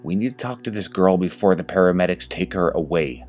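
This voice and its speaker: Urgent, gruff veteran male detective, performed by a male narrator, commanding, horror audio story, faint music behind. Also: deep voice, lively intonation, a little fast, a little mellow. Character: gruff aged male police detective, commanding, chain-smoking.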